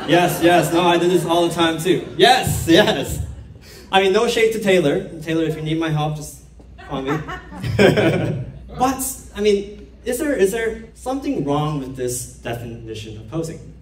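Animated young man on a theater stage. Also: sits high. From Korea. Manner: playful, chatty, asks the crowd questions. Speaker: speaker giving a stage talk. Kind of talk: lively stage talk to an audience. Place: dark theater stage with an audience. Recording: roomy stage sound heard from far off.

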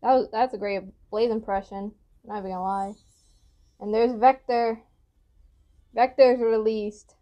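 A woman's voice: fairly high pitch, steady tone, measured pace, long pauses, lo-fi sound. A young woman giving casual reaction commentary as she hosts a video, recorded indoors in a small room. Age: young adult.

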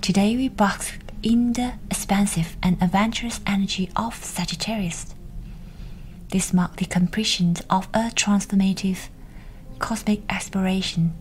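A woman with a breathy, whispery voice leads a scripted guided meditation in a soothing, unhurried way, with pauses between instructions. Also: mid-range voice, a little mellow, rough recording. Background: music.

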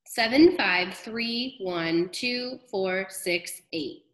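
A woman's voice: medium pitch, extremely slow. A woman voicing a stubborn young schoolboy in a storybook, protesting and pleading to get to recess. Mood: earnest counting. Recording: echoey room.

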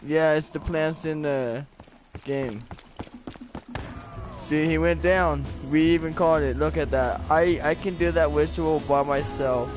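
A man's voice: on the high side, fairly even intonation, unhurried. A young man in casual game chat with teammates while streaming, slangy and foul-mouthed, talking into a headset. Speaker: gamer live-streaming a co-op game. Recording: game audio under voice. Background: game music and effects.

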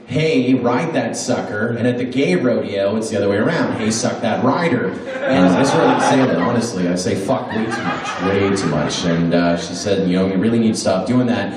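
Man, middle-aged, stand-up comedian, loose crude delivery, handheld mic, boomy room, distant pickup. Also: normal speed, crowd noise. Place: small club stage. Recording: echoey room recorded from a distance.

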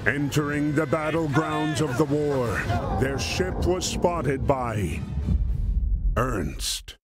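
A man narrating a wartime history game as a solemn storyteller, in measured scripted delivery. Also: fairly high pitch, expressive. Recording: music behind the voice. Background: music.

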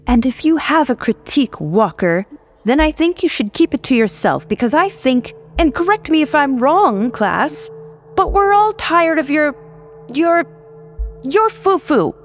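Lady doing a smug art teacher guy from an audio story, halting, condescending, voice way up. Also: high voice, big pitch swings, voice pushed up. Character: smug, patronising male art teacher with a belly.

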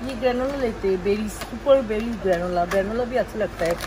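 A woman's voice: medium pitch, brisk. A woman vlogging while shopping, chatting casually and describing each item she picks up, filmed on a handheld phone in a big warehouse store. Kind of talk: casual shop-with-me vlog narration. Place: large indoor warehouse store. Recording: echoey warehouse with loud store noise.

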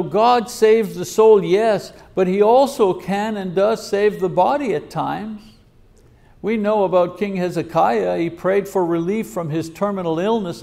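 A man in his sixties preaching a sermon at a podium, reading scripture and acting out prayers in a measured teaching manner. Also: sits high, creaky, a little mellow. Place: lecture hall at a podium. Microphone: podium microphone.

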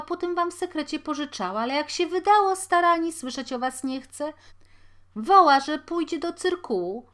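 A woman reading aloud turns into a worn-out young servant woman, pleading in a very high, loud voice. She rushes her words and sounds close to panic, a storybook figure caught between two quarrels.

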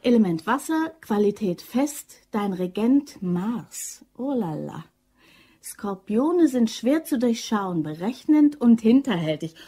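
A woman's voice: fairly high pitch, big pitch swings, slow, warm tone, breathy edge, full character voice. An actress playing a breezy, self-assured female office worker in a radio crime drama, playful and teasing.

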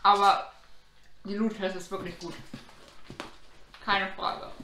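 A woman's voice: medium pitch, level tone, takes it slowly, sharp tone. A woman in her twenties hosting a casual unboxing to camera, opinionated, blunt and slangy. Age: 20s. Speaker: host of an unboxing channel. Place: small room indoors, on a sofa. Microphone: camera mic a short distance away. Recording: roomy echo, faint background noise.

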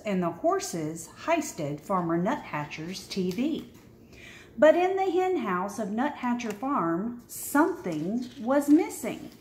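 A woman reads a children's picture book aloud in a fairly high voice that swings up and down with plenty of animation. She takes her time, leaning on key words with dramatic pauses. The room adds a noticeable echo. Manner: expressive, dramatic pauses and emphasis. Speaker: storybook reader.

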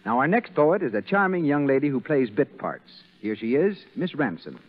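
A man announcing in a scripted 1930s radio style, delivering a sponsor's pitch. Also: big pitch swings, moderate pace. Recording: muffled, narrow 1930s radio broadcast.

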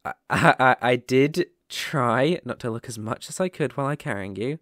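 A British man playing a gentle, protective lone werewolf in an ASMR roleplay, speaking haltingly and reassuringly into a close microphone. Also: medium pitch, lively intonation, dry recording, lightly acted. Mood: flustered, reassuring. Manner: soft-hearted, reassuring, halting and pausing. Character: gentle, protective male lone werewolf living in a forest cabin.

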